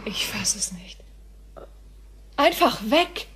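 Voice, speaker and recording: A woman's voice: medium pitch, big pitch swings, slow, pushed and bright, slight background noise, exaggerated voice. An actress playing an incredulous, emotional woman, stammering and exclaiming in a science fiction radio drama. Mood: stunned, incredulous. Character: incredulous, emotional daughter of a doctor.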